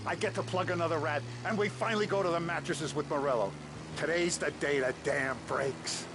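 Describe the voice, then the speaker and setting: An actor playing a hot-tempered older mob boss who is gruff, profane and commanding in a game cutscene. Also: male voice, a little high, brisk, background noise, lightly acted. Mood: bitter. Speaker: crime boss.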